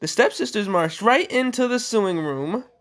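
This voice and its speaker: A woman reading a bedtime story aloud in an animated style, with casual, playful and mocking asides. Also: mid-range voice, normal speed.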